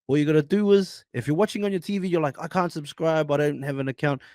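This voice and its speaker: A man's voice: a little high, steady tone, fast talker, darkish tone, no echo, studio quality. A man with a New Zealand accent hosting a podcast, upbeat and friendly, calling his guest "brother". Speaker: podcast host. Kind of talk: casual interview chat.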